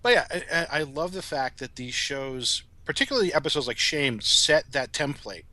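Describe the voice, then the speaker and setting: A middle-aged man with a bright, forward voice talks as a podcast guest. The sound is dry, with no room around it, and his delivery is casual and reflective. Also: a little high, lively intonation, moderate pace, no room sound.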